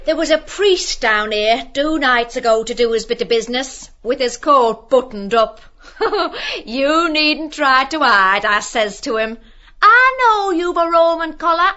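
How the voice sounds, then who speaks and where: A woman's voice: high-pitched, expressive, measured pace, bright, forceful tone, muffled recording, heavily acted. An actress playing a cheeky, gossiping young brothel woman in an audiobook play, with a teasing colloquial manner. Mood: teasing, amused.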